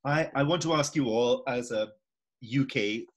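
A man's voice: mid-range voice, unhurried. An older man with a Scottish accent interviewing a guest over a video call from home, musing and repeating himself as he thinks aloud. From Scotland. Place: home room indoors.

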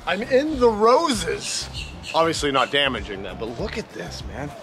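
A man with a Maine accent talks to the camera outdoors, rambling casually with lots of fillers. His voice sits a bit high for a man, and soft music plays underneath. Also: lively intonation, unhurried. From Maine, USA. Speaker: vlog host. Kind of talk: casual outdoor vlog chat. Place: outdoors in a town.

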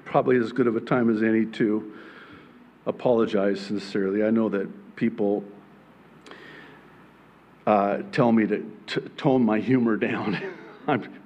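A man in his fifties with an Arabic accent, preaching a sermon from a church pulpit, joking and building to emphatic, near-shouted points. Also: lowish voice, steady tone, measured pace, pauses often. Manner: humorous, rising to emphatic near-shouting peaks. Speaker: pastor. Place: church stage behind a pulpit. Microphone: pulpit microphone.

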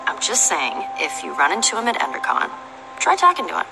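A woman's voice: lowish voice, huge pitch swings, a little fast, gravelly, noisy background, heavily acted. A woman voicing a tough, confident young female adventurer in a video game, with a dry, teasing, self-assured manner. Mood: teasing, persuasive. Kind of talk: animated game dialogue.

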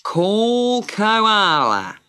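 Woman playing a slow, solemn pelican prosecutor, storybook reading, lively pitch. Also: exaggerated voice. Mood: grave. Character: pompous male pelican prosecutor, slow and grave.

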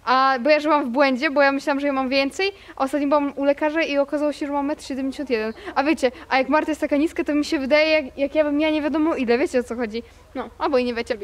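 Chatty young woman vlogging, roomy sound. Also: high voice. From Poland. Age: 20s. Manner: chatty, gushing, quick asides to viewers. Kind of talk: casual vlog chat to camera. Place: small bedroom at home. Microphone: handheld camera held close. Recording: roomy camera audio.

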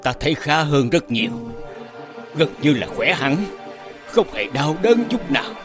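A man playing an ailing old king and sorrowful father in an animated fairy tale, with a stately, drawn-out delivery. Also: fairly high pitch, music in the background. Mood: relieved.

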